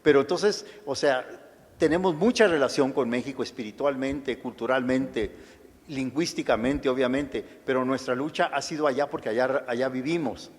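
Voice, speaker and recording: A man's voice: mid-range voice, moderate pace. Older man, Chicano accent, lecturing, thoughtful, frequent restarts, long hall reverb. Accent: Chicano Spanish. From United States. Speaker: guest lecturer, historian. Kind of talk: lecture answering audience questions.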